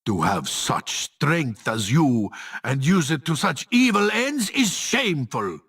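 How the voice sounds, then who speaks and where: Husky, eccentric heroic warrior. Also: male voice, fairly high pitch, big pitch swings, slow, fairly bright tone, exaggerated voice. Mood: righteous scorn. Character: eccentric righteous heroic warrior with a pet hamster. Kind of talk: dramatic fantasy game voice acting.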